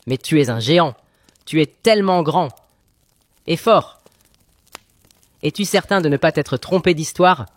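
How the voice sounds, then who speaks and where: A man's voice: a little high, animated, measured pace, long pauses. A man playing a cheeky, cunning young boy hero, self-assured and wheedling, in a children's cartoon fairy tale.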